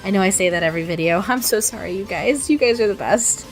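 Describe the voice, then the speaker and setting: Young woman, raspy and sniffly with a cold. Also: mid-range voice, fast talker, soft background music, poor audio. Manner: rambling, self-deprecating, lots of ums and restarts. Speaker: artist narrating her speedpaint. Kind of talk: casual voiceover chat.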